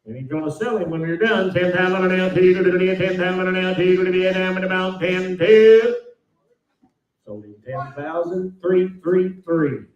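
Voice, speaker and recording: An American man chants bids as a livestock auctioneer in a high-pitched voice. His patter is rhythmic, rolling and repetitive. It sounds distant, echoing through a sale barn PA. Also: normal speed, highs cut off.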